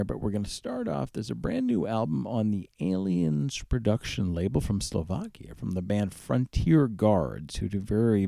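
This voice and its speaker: A man's voice: on the low side, vocal fry, dark tone, no echo. A man presenting a music radio show as its DJ, talking casually and conversationally between tracks. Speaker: radio DJ and host.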